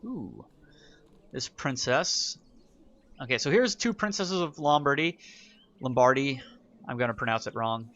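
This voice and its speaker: A man's voice: mid-range voice, pushed and bright, muffled recording. A man giving casual, wry commentary while playing a strategy game, thinking aloud as he goes. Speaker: gaming YouTuber. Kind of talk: let's play commentary.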